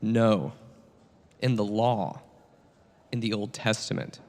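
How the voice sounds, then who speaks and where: A man playing a calm, patient divine teacher in a satirical dramatic reading, speaking in measured, plain questions. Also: lowish voice, in a large hall.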